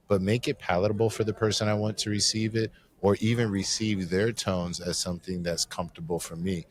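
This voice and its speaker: A man hosting a podcast interview at a live conference, talking in a reflective, rambling way with casual slang. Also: lowish voice. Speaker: podcast host. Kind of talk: conversational interview.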